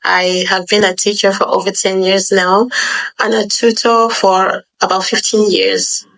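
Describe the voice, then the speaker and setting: A woman's voice: mid-range voice, little pitch movement, a little slow, fairly bright tone, rough recording. A Nigerian woman, an online English tutor, giving a welcoming scripted self-introduction.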